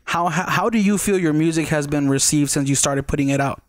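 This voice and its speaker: Male podcast host, quick, a bit higher voice, rambling and chatty. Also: no echo, studio quality. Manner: quick, rambling, many restarts and "right?". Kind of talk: casual podcast interview.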